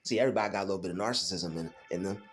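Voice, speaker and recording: A man's voice: low-pitched, racing, faint music behind. A man hosting his own faith vlog alone at home, talking casually and emphatically, repeating phrases and using many fillers. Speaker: channel host.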